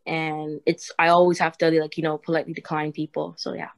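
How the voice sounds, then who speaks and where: Low-voiced teenage girl on video call. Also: level tone, fairly quick, gravelly. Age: teens. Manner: thoughtful, many "you know" fillers. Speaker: student panelist. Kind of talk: casual panel discussion. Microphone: webcam video call.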